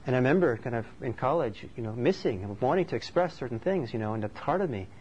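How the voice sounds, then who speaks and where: A man from Norway speaks as a meditation teacher, giving a dharma talk in a thoughtful, unhurried, conversational way. His voice sits at an ordinary middle pitch. The recording sounds slightly muffled, with a faint hiss of background noise underneath. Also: expressive, a little mellow.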